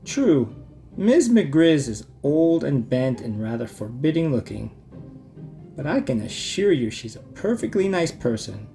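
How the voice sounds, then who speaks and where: A man voicing a patient, gently firm mother bear who explains things in a children's storybook. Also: mid-range voice, sing-song, measured pace, faint music behind. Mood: reproving. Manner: patient, instructive. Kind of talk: children's storybook read-aloud.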